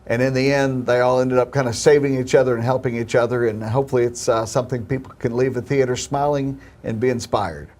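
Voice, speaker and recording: A film producer talks through his answers in an earnest, hopeful tone, sometimes restarting a phrase. He has a mid-pitched male voice. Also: fairly even intonation, fast talker, few pauses, darkish tone. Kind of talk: interview answers.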